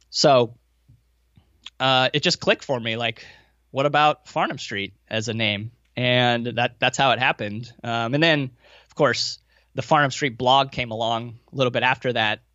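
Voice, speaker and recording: A man's voice: medium pitch, normal speed, pushed and bright, dry recording, lo-fi sound. An American man answering interview questions in a casual, informal, anecdotal way, with some self-deprecation. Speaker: podcast guest, value investor running a fund.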